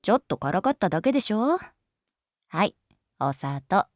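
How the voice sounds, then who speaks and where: A woman's voice: mid-range voice, sing-song, moderate pace, dry recording, studio quality, close to an everyday voice. A woman playing a pragmatic, teasing female schoolteacher in a Japanese audio drama, moving between polite firmness and casual bluntness.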